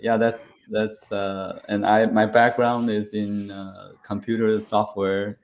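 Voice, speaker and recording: A man's voice: lowish voice, flat delivery, takes it slowly, creaky, slight background noise. A man from Taiwan, an interview guest, telling his story in a rambling way with many fillers.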